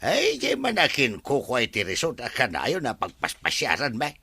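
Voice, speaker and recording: Bright-voiced, cautious male aide. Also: mid-range voice, huge pitch swings, normal speed, vocal fry, breathy edge, no echo, exaggerated voice. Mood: advising. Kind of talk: Ilocano radio drama acting.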